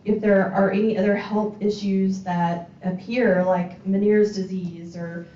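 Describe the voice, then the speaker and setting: A woman's voice: mid-range voice, little pitch movement, measured pace, warm tone, a little hiss or hum. A woman, a hearing specialist giving an informal talk, chatty and full of fillers. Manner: chatty, many fillers and restarts. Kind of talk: informal community presentation. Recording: roomy, distant, dull sound.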